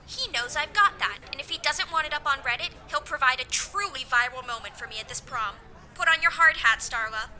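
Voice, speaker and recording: Comedy audio drama: high, bright teenage drama queen, scheming and theatrical. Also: expressive, a little fast, faint music behind, full character voice. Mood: gloating, scheming. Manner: theatrical, exclamatory. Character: dramatic scheming teenage prom girl, viral-fame obsessed.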